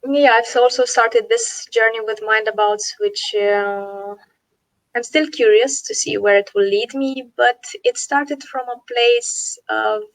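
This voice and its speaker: Romanian-accented woman, fairly high and dry; thoughtful guest on a video call. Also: fairly bright tone, dry recording, rough recording. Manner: reflective, with many "uh" and "you know". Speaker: guest, NLP coach and founder. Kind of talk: online interview, personal story.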